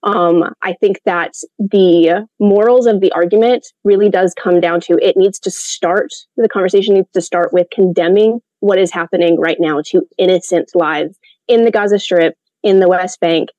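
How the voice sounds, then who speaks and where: A woman's voice: on the low side, fairly even intonation, normal speed, mellow, no echo, muffled recording. A woman giving an earnest debate opening statement, insistent and dotted with ums.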